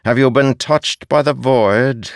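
A man's voice: mid-range voice, takes it slowly, dry recording, clean studio sound, pitched above the performer's own voice. A man voicing an anguished warrior king burdened by guilt in a fantasy audiobook, strained, emotional and pleading. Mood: bewildered.

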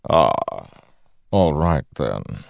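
Man voicing a smooth, swooping, malevolent god. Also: rumbling low, big pitch swings, no echo, heavily acted. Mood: soothing, calculating. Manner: patronizing, unhurried, theatrical. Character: ancient malevolent god, smiling, paternal and smooth-talking. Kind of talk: audiobook fantasy dialogue.

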